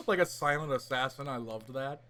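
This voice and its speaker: A man co-hosting a casual pop-culture podcast, talking in an excitable, hyperbolic fan style with plenty of swearing. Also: medium pitch, normal speed, slight background noise. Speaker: podcast co-host.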